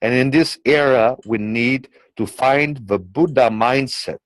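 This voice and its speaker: A man's voice: mid-range voice, unhurried, no room sound. A man with a non-native English accent gives a blunt, rambling political monologue, often repeating himself and tagging phrases with okay and you know. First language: not English. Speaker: commentator.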